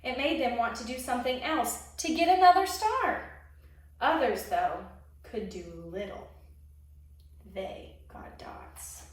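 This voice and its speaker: Echoey, slow-paced woman reading a storybook. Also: sits high. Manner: expressive, pausing to ask the listeners questions. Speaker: children's storybook reader. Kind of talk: read-aloud storytelling to young children with asides. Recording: very echoey room, distant microphone.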